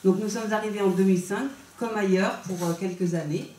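A woman's voice: medium pitch, brisk, warm tone, distant mic. A woman in her forties speaking at a public meeting, introducing herself in a chatty way with frequent hesitations. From Vendée, France. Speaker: substitute candidate at a public meeting. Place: small meeting room, indoors. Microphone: handheld mic, filmed by a far camera. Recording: echoey room heard from afar.

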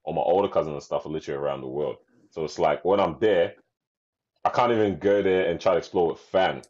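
Deep-voiced man on a dull, lo-fi call. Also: fast, darkish tone. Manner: easygoing, joking, says "bro". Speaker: podcast co-host. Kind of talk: casual group discussion. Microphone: video call. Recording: dull lo-fi video call audio.

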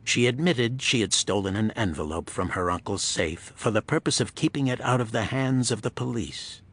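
A man giving scripted narration of a pulp thriller audiobook in a husky voice. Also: lowish voice, fairly bright tone.

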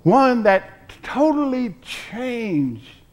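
An older man preaching a sermon from a pulpit, deliberate and emphatic, pausing between phrases. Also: very high voice, very animated. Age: 60s or older. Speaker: pastor preaching. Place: church sanctuary, at a pulpit with choir behind.

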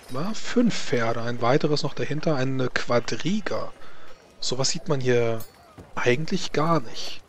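A man's voice: mid-range voice, a little hiss or hum. A man narrating a tabletop role-playing game as game master, improvising scene descriptions.

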